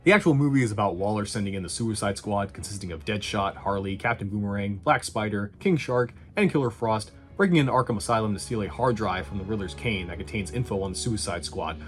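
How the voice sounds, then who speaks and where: A man's voice: lowish voice, expressive, fast talker, hardly any pauses. A man giving a casual scripted review commentary, with sarcastic dry humour and some swearing. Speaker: video game movie reviewer. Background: faint music.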